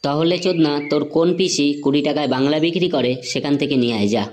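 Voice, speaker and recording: A man's voice: fairly high pitch, fast talker, darkish tone, a little hiss or hum, natural voice. A man voicing a cocky, irritable young slacker in a comedy cartoon, with brash slangy retorts.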